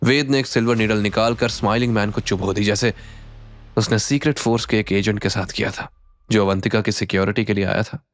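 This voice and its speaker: A man's voice: on the low side, steady tone, brisk, no echo, soft background music. A man narrating a dramatic scripted audio series as its storyteller.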